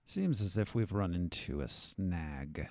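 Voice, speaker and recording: A man playing a weary, calculating captive doctor in an audio drama, speaking in a measured, formal way. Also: deep voice, expressive, a little mellow, dry recording, studio quality, full character voice. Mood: dry, composed.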